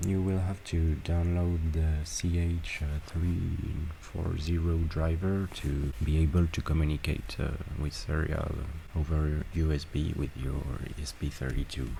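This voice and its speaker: Guy with a deep gravelly voice slowly walking thru a setup tutorial, noisy recording. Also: dark tone. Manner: halting step-by-step instructions with frequent pauses. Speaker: tutorial presenter. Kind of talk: screen-recorded software tutorial.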